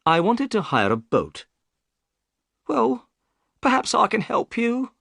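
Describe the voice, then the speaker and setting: Man doing a high, tearful boatman's wife. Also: wildly swooping pitch, slow, gravelly, a bit bright, dry recording, exaggerated voice. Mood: worried, curt. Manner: high, strained falsetto, sobbing at times. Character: upset, tearful middle-aged working woman.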